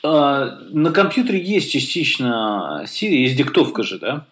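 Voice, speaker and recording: A man's voice: mid-range voice, sing-song, unhurried, a bit bright. Hesitant Russian-speaking man, tech chat. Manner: hesitant, many fillers and restarts. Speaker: podcast co-host.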